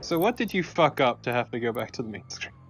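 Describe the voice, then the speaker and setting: A man's voice: mid-range voice, very animated, quick pace, a little hiss or hum. A man co-commentating on a video game in casual banter, with dry, wry asides. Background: game music.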